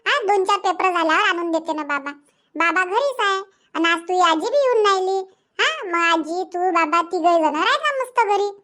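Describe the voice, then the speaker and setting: A woman's voice: very high-pitched, expressive, moderate pace, sharp tone, exaggerated voice. A woman voicing a stubborn, grumbling young village mother in a cartoon comedy, chattering emphatically with a Vidarbha Marathi accent. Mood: reassuring.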